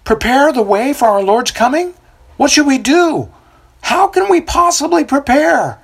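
A storyteller voicing anxious people in a crowd who shout and plead. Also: high voice, animated, moderate pace, husky, pitched above the performer's own voice, heavily acted.

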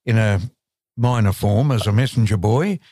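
A man's voice: fairly low pitch, normal speed, mellow, dry recording. Older Australian man telling dry stories. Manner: dry, understated storytelling. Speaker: podcast co-host, veteran broadcaster. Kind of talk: casual reminiscing chat.

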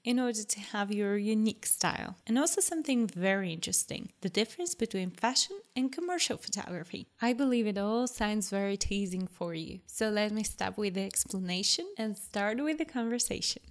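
A woman's voice: mid-range voice, expressive, a little fast, few pauses. A woman hosting a photography podcast, introducing her guest and interviewing him in a friendly way, with slightly nonnative phrasing. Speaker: podcast host.

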